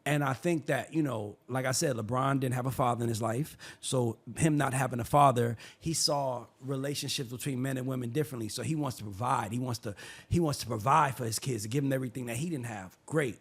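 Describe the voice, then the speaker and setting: A man hosting a sports opinion show, arguing his case in a heated, preachy way and sometimes building to shouts. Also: mid-range voice, a little fast, slightly rough. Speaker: show host.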